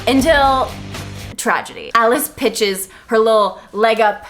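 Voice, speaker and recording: A woman's voice: a little high, measured pace, a bit bright, soft background music. A woman co-hosting a podcast, giving a casual comedic book recap and acting out the characters in exaggerated, jokey voices. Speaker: podcast co-host.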